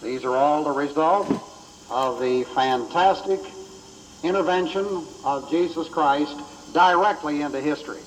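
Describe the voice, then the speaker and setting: A man preaching in an emphatic, deliberate lecture style, played back from an old cassette. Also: fairly high pitch. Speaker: preacher, Bible teacher. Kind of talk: sermon lecture. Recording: old cassette playback, long reverb, noisy hiss.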